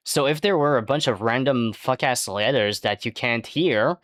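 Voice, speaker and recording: A native French speaker streaming casually, rambling and swearing with jokey outbursts that jump up in pitch. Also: moderate pace, fairly bright tone, no echo, clean studio sound. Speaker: livestreamer. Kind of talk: casual livestream chat.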